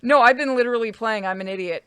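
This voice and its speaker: A woman's voice: a little high, quick pace, sharp tone, smooth, no room sound, studio quality. A woman chatting casually and candidly on a game livestream, swearing now and then. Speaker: game streamer.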